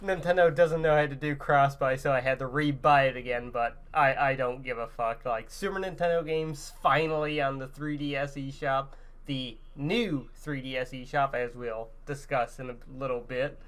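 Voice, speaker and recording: A man's voice: medium pitch, fairly even intonation, moderate pace, a bit bright. A man co-hosting a gaming podcast in casual, now and then profane chat.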